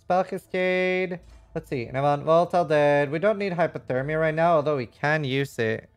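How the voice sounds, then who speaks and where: A man's voice: on the high side, moderate pace, clean tone. A man doing casual live game commentary, reading chat and thinking aloud in a frank, rambling way with some casual swearing. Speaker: livestreamer.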